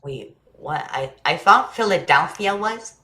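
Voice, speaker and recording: A bright, forward voice: a woman playing a young schoolboy in a drama reading. He is curious and earnest, talking in an eager, informal, questioning way, a little low for a woman. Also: expressive, measured pace. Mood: puzzled. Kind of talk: staged reading of family drama.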